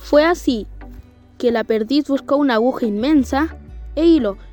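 Young woman narrator, high voice, reading a story for school radio play, faint music. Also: moderate pace, warm tone.

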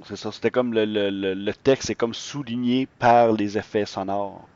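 A man's voice: lowish voice, steady tone, moderate pace, darkish tone, clear, resonant tone, dull audio. A man with a Québécois French accent interviewing someone in a casual, chatty way. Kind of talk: casual chat.

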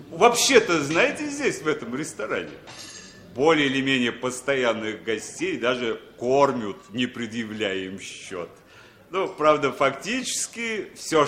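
A man's voice: mid-range voice, fairly bright tone, echoey room. An actor playing a talkative, worldly foreign capitalist in a radio play, chatty and persuasive, often repeating his words. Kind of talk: 1960s Soviet radio play acting.

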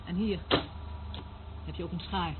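An actress playing a bitter, mocking surveillance worker in a radio drama, with an intense, sardonic manner. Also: female voice, fairly low pitch, steady tone, measured pace, narrow, tinny audio, lightly acted. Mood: bitter, controlled. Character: bitter, mocking female eavesdropper instructing a newcomer. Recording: thin band-limited old radio recording, hiss.

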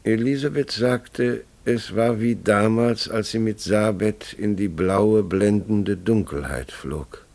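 An actor playing a grave, bewildered family father who tells his story haltingly and deliberately in a German radio play. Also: on the low side, creaky, a little mellow. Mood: somber.

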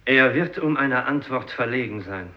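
A man's voice: medium pitch, expressive, moderate pace, natural voice. An actor playing a bitter, doubting fisherman in a 1950s German radio drama, with probing, sceptical lines. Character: bitter, doubting male fisherman challenging faith. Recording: dull, muffled vintage radio recording.

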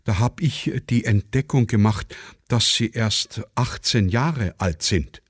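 A man's voice: on the low side, slow pace, slightly rough, no room sound, studio quality. A man voicing a dutiful, hesitant military clerk, deferential and matter-of-fact, in a radio play. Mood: dutiful, cautious. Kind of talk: satirical radio play dialogue.

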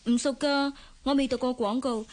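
An actress playing a modest, guarded young office worker in a radio drama, polite and demure, with a Hong Kong Cantonese accent. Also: female voice, sits high, fairly even intonation, normal speed, natural voice. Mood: earnest, deferential.

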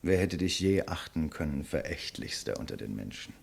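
A man's voice: very deep voice, very animated, normal speed, vocal fry, husky, heavily acted. An actor playing a proud, defiant writer facing his executioner, eloquent and sardonic, in the style of a 1950s German radio play. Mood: scornful, composed.

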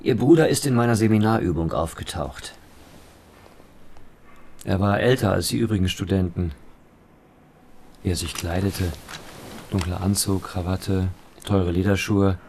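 An actor playing an academic, measured and guarded, in a radio drama. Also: male voice, deep voice, a little mellow, slight background noise.

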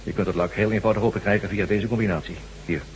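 An actor playing a mysterious, commanding armed stranger in a radio drama, terse, evasive and coolly menacing. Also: male voice, fairly low pitch, steady tone, quick pace, breathy edge. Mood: instructing, secretive. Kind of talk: Dutch radio drama acting. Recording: hum and noise, long reverb.

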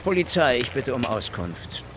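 Actor as officious police investigator, swooping intonation. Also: male voice, medium pitch, very animated, normal speed, slight background noise, natural voice. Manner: officious, interrogating. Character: brisk, suspicious male police investigator, officious and sharp. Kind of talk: German radio play acting.

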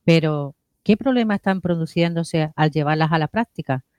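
A woman's voice: low voice, moderate pace, darkish tone, clean tone, dry recording. A woman co-hosting a community radio show, introducing segments. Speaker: radio co-host.

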